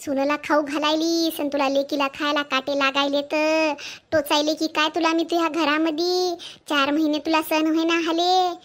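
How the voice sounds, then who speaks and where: A woman voicing a nagging rural mother who scolds her grown daughter with rapid, sarcastic talk. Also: sits high, level tone, close to an everyday voice. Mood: scolding.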